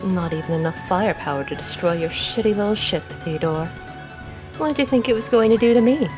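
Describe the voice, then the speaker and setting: A woman's voice: mid-range voice, animated, fairly quick, music in the background, close to an everyday voice. A woman voicing an arrogant, sadistic would-be goddess in a visual novel, haughty and theatrical as she savors her words. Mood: gloating. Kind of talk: dramatic visual novel monologue.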